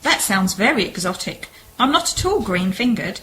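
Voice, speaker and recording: A woman's voice: medium pitch, big pitch swings, normal speed, sharp tone, a little hiss or hum, low-quality recording. A woman acting a polite, shrewd, inquisitive lady sleuth in an amateur radio play. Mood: polite, probing. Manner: polite, probing.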